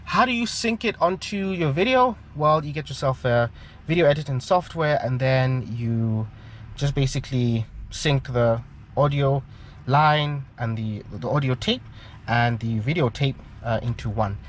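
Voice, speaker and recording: A man's voice: medium pitch, measured pace, slight background noise. A man with a South African accent and a mid-range voice hosts an informal how-to. He explains equipment step by step in a hesitant, explanatory manner, feeling his way through the wording. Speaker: aviation channel host. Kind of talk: informal spoken tutorial, demonstrating gear.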